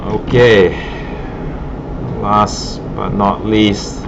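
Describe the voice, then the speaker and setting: A man teaching art, giving a casual, halting demo commentary in a classroom, thinking aloud as he goes. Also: on the low side, steady tone, creaky. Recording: muffled broken audio, loud room noise.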